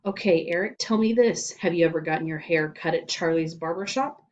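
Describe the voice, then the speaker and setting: A woman's voice: lowish voice, a little mellow, far from the mic, lightly acted. Woman putting on a stern, barking male school principal. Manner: barking, intimidating, slow deliberate questioning. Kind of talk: children's storybook read-aloud.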